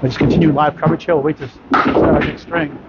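A man's voice: a little high, level tone, quick pace. A man announcing a bowling tournament live, reading off scores and bowler stats in a matter-of-fact way from inside a bowling alley. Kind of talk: live sports commentary. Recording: dull lo-fi sound with loud alley noise.

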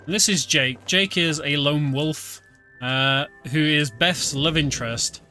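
A man's voice: a little high, unhurried, pushed and bright. A British man giving casual let's-play commentary as a streamer, chatty and explaining the game with plenty of fillers. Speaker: streamer playing a video game. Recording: game music clearly audible underneath.

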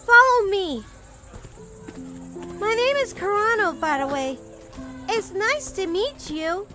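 A very high, slow-speaking little orphan boy, innocent and earnest. It is an audio roleplay with music playing clearly behind the childlike voice. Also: animated, exaggerated voice. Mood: innocent, friendly. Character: innocent, polite little orphan boy looking for lost Fluffle.

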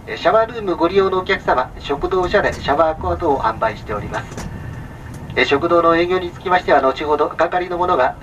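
A man's voice: fairly high pitch, animated, distant mic. A man working as a train conductor, making a formal, polite announcement over the public address speaker of a sleeper train. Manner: formal, polite, measured listing with small filler sounds. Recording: muffled train PA from afar, vehicle noise.

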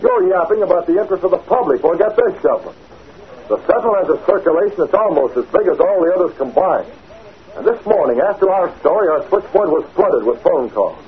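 An actor playing a forceful, confident newspaper publisher with a challenging, sardonic manner in a 1940s radio drama. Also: male voice, sits high, lively intonation, brisk. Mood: combative. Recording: noisy, narrow-band 1940s radio recording.

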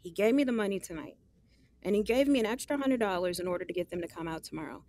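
A woman's voice: medium pitch, lively intonation, fast, a little mellow, slight background noise, lightly acted. An actress playing a guarded but smitten young woman, chatting in sassy, slangy style with her best friend in an audio drama. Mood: exasperated. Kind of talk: casual conversational audio drama.

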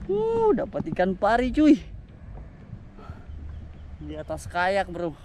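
A young man fishing from a kayak at sea, exclaiming and groaning excitedly as he talks to his action camera. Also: very high voice, extremely slow. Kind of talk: casual first-person fishing vlog. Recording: outdoor wind and water noise.

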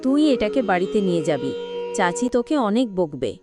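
A woman voicing a practical, cautious young village girl in a cartoon, in an expressive way. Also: fairly low pitch, fairly quick, music in the background. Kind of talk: cartoon dialogue acting.

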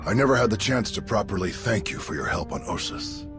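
An actor playing a wise, solemn alien master in a video game, with a measured, formal delivery. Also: male voice, on the low side, lively intonation, music in the background. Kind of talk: scripted video game acting.

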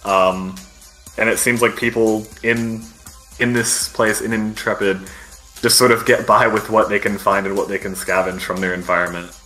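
A man narrates a tabletop game as its game master. His voice is mid-pitched and bright, descriptive and halting, over faint music. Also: steady tone, low-quality recording.

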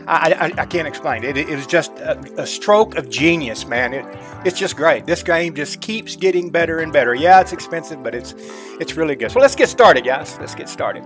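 A man's voice: a little high, expressive, moderate pace, music in the background. A middle-aged man giving casual, folksy gameplay commentary, chatting informally to his viewers. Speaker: gaming streamer. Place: indoors at a computer desk, webcam.

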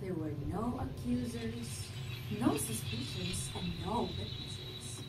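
A young woman playing a cool, confident woman with a criminal secret on stage, speaking deliberately and emphatically. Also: fairly high pitch, loud music behind the voice. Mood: dramatic recounting. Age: young adult. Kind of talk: theatrical stage acting. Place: indoor living-room stage set. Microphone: far camera. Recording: echoey room, distant mic, background noise.

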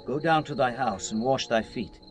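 A man playing a commanding, calculating ancient king in a dramatized scripture reading, imperious and deliberate. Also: mid-range voice, a little hiss or hum, natural voice, low-quality recording. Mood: smooth. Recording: music behind the voice.

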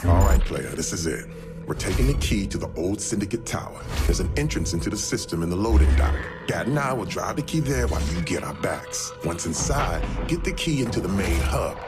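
Serious male tactician for a game briefing, deep, measured, under loud music. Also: lively intonation. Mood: focused. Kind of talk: video game mission briefing.

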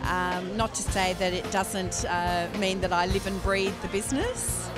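A woman in her forties, a small business owner and mother, answering a vox pop question in a measured, reflective way. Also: mid-range voice. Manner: measured, reflective, frequent ums. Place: indoor function room. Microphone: handheld interviewer mic. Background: background music.

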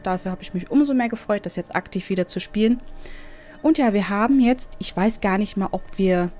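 A woman chats casually as she hosts a game playthrough. Her mid-pitched voice is slightly stuffed up by a cold, and soft music plays behind it. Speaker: let's play host. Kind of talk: casual gameplay commentary. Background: background game music.

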